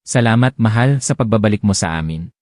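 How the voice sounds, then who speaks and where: A gentle, devoted rich father and husband , as a male narrator puts him on, speaking low and tender with a mellow, dark warmth. His reassurances come softly and earnestly in a dry, close recording, the voice of a storybook hero at peace. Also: lively intonation, fairly quick, no room sound, close to an everyday voice.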